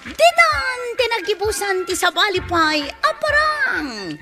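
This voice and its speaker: A woman's voice: very high-pitched, big pitch swings, takes it slowly. A woman with an Ilocano accent announcing a radio drama, reading out the programme intro and credits dramatically. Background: music bed.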